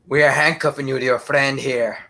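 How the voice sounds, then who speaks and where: Man as gruff, gravelly dim henchman. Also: mid-range voice, fairly even intonation, brisk, bright, forceful tone. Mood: gruff, bossy. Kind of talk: 1930s radio play acting.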